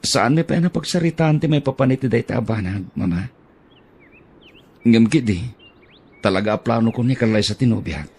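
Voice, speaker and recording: A man's voice: medium pitch, normal speed, long pauses, a little mellow, breathy edge. A man playing a devoted young suitor in a radio drama, speaking tenderly and earnestly with a Filipino accent.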